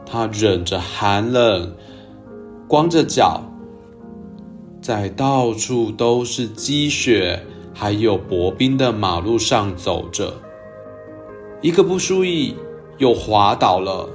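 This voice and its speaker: A man hosting a storytelling show, narrating for young children in a gentle, expressive way. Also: lowish voice, slow, pauses often, soft background music. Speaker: children's storytelling podcast host.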